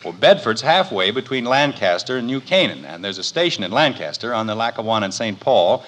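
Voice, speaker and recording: A man's voice: on the low side, very animated, fairly quick, a bit bright. A man playing an exasperated, lecturing father in an old-time radio sitcom, with a dry, put-upon manner. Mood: explaining.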